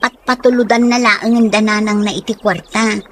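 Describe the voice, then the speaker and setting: A woman's voice: mid-range voice, fairly even intonation, normal speed, slight background noise, natural voice. A woman playing an inquisitive, practical young friend in an Ilocano radio drama, with a Filipino Ilocano accent. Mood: curious, teasing. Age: young adult.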